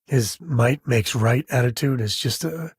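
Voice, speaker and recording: A rugged spacefaring adventurer speaks in a very husky, rough-edged voice at a medium pitch. He is folksy and wry, talking like an easygoing video game companion. The recording is dry and close, with no room around it. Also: a little slow, no room sound. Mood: uneasy, cautious. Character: rugged, wry space explorer and devoted single father. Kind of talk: video game voice acting, conversational.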